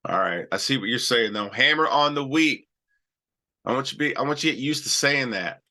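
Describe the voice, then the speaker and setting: A man's voice: medium pitch, lively intonation, brisk, bright, forceful tone. Chatty male trading host with a cold. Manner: loose and chatty, many restarts and "all right"s. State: has a cold. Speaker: stock trading show host. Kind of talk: casual live-stream chart talk.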